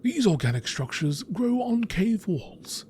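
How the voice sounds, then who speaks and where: High-pitched man narrating like a nature documentary. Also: sing-song, unhurried, noisy background. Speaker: narrator reading game text.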